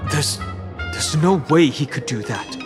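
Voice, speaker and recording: A man voicing a serious, subdued travelling companion in a video game, deliberate and a little hesitant. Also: a little high. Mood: disbelieving. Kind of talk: scripted video game voice acting. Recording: music under the voice.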